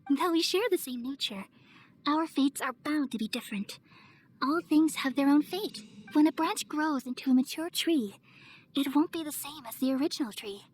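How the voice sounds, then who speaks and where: A woman playing a serene, wise and motherly goddess in an anime-style video game, speaking gently and solemnly. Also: sits high, animated, normal speed, poor audio. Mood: gentle, reassuring. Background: music.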